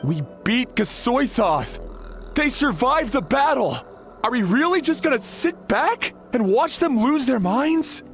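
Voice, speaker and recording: Fired-up protesting warrior, lots of pitch swing, pushy and emphatic. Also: sing-song, takes it slowly, music in the background, full character voice. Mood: indignant. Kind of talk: video game voice acting.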